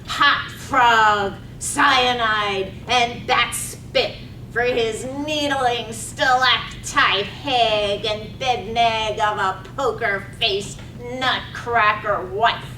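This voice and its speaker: High-pitched woman narrating slowly. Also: bright, forceful tone. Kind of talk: poetic scripted narration, staged reading. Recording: roomy, distant mic, faint rumbling noise.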